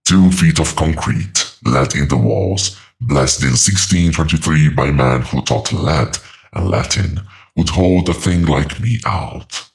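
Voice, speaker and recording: A man playing an ancient, patient demonic entity in a horror audio drama, speaking with calm, mocking, deliberate menace. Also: extremely low pitch, vocal fry, heavily acted.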